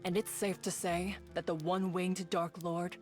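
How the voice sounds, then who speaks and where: A woman's voice: fairly low pitch, little pitch movement, normal speed, faint music behind, natural voice. A woman playing a serious, weary young female soldier, earnest and plain-spoken, in an English game dub. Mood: stern.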